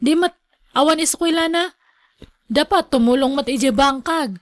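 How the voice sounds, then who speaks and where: A woman playing a discontented, sharp-tongued village wife and mother in a radio drama. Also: high-pitched, a little slow, no room sound, studio quality. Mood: complaining.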